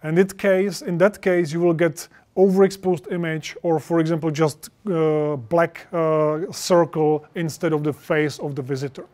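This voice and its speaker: A man's voice: a little high, fairly even intonation, normal speed, a little mellow. A man in his thirties presenting a product in an explanatory way, with non-native English phrasing, on a lapel mic. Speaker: product presenter for an intercom maker. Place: indoor studio stage with projection screen.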